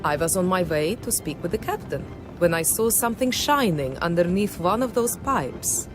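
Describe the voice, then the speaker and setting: A woman playing a composed, smoothly helpful lady passenger in scripted game dialogue. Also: fairly low pitch, lively intonation, moderate pace, over music, close to an everyday voice, poor audio.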